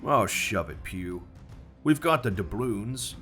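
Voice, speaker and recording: A man voicing rough, surly pirate crewmen who grumble back at their leader. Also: fairly low pitch, measured pace, fairly bright tone, soft background music. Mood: grumbling.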